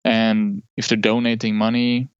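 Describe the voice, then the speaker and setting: A man's voice: fairly low pitch, level tone, a little slow, smooth, dry recording, lo-fi sound. A man from Norway, a guest in an interview, talking casually about his money habits with many fillers and restarts.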